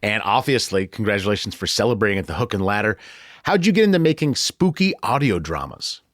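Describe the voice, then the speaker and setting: A man's voice: on the low side, sing-song, brisk, a bit bright, dry recording, clean studio sound. An American man hosting a podcast in a chatty, conversational, playfully self-deprecating way. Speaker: podcast host.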